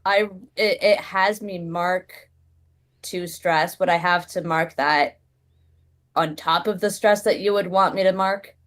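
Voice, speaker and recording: Female tabletop player, casual and exasperated, blunt delivery, very unhurried, pitch a bit low. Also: fairly even intonation, fairly bright tone. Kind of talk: casual game chat.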